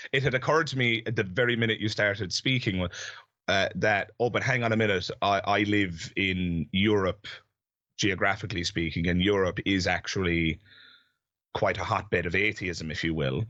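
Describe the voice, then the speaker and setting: A man's voice: sits low, pushed and bright, no room sound, lo-fi sound. A man, hosting a casual debate and phrasing his questions in careful, restated steps. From Ireland. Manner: measured, restates questions with fillers and asides. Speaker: podcast host and debater.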